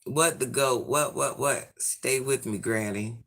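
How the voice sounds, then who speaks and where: A man in his forties, hoarse from illness, chatting sassily with viewers on a livestream and reading out their comments. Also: medium pitch, a little slow, a bit bright. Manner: sassy, chatty, addresses viewers by name. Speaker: livestream host.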